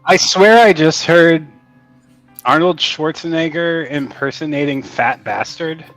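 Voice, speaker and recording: Blunt teasing guest guy, bit higher voice, faint music behind. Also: unhurried, fairly bright tone, poor audio. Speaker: podcast guest. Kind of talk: casual podcast chat.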